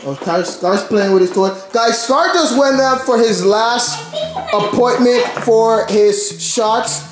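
A man's voice: very high-pitched, takes it slowly. A young man hosting a home vlog, joking around and laughing often while filming with a handheld camera. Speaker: vlog host. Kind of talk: casual vlog banter. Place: home kitchen and living room, indoors. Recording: echoey room with music under.

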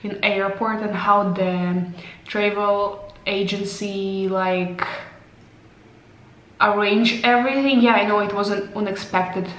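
A woman's voice: mid-range voice, fairly even intonation, takes it slowly, a bit bright. A young woman, not a native English speaker, chatting casually to a handheld camera in a vlog, laughing often and losing her voice. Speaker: vlogger. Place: tiled hotel bathroom. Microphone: handheld camera at arm's length. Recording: echoey tiled room.

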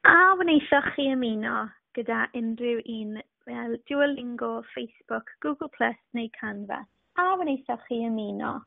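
A woman's voice: on the high side, moderate pace, vocal fry, no room sound. A woman acting as Welsh facilitator, carefully reading a question aloud over a web conference call. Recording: tinny call audio.

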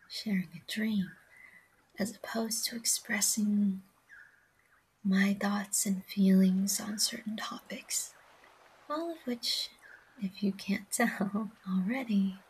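A woman whispering a casual, rambling ASMR-style audio log, softly confiding in her listeners with a breathy voice. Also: medium pitch, rough recording. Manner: soft, confiding, addressing listeners directly.

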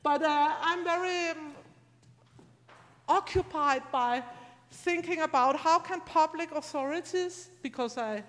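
A middle-aged woman gives an informal academic talk as a university lecturer, speaking in a halting, conversational, explanatory way into a handheld microphone. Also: high-pitched, a bit bright. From Scandinavia. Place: large lecture room, indoors. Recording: reverberant lecture hall PA.

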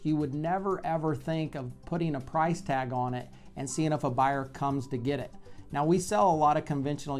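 A man in his forties speaking as a real estate video blog host, explaining in a measured, conversational way with pauses mid-phrase, recorded on a lapel mic in an office. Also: mid-range voice, a little mellow. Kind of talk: explanatory talk to camera. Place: bright office by large windows, indoors.

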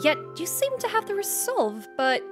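A woman's voice: very high-pitched, moderate pace. A woman voicing a curious, probing young trainer in a manga dub, with an inquisitive delivery. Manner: inquisitive, animated. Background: loud music.

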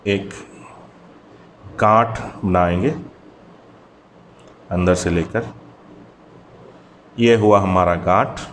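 A man's voice: fairly low pitch, a little hiss or hum. A man whose first language is Bengali hosts a hands-on fishing tutorial, chatting through each step and calling the viewer brother. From Bangladesh. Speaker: fishing channel host.

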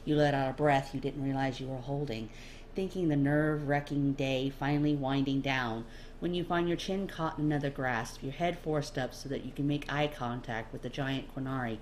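Low-pitched woman reading a story. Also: steady tone, normal speed, a little mellow. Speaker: amateur audiobook reader. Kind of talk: expressive scripted second-person story narration. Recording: faint background noise.

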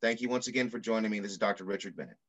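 A middle-aged man reading aloud in the style of a children's picture book, with measured, deliberate phrasing. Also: lowish voice, a bit bright. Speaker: pediatrician reading a story aloud. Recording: muffled video call audio.

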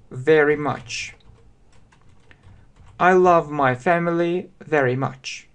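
Very slow Russian-accented male teacher. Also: on the high side. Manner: very slow, spells out phrases word by word and repeats them. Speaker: English teacher. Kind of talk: slow step-by-step language lesson. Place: small room indoors.

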